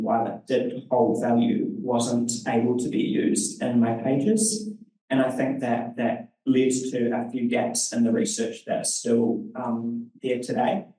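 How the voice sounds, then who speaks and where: Flat-voiced man presenting in a roomy hall. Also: mid-range voice. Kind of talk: conference presentation. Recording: roomy hall, distant mic.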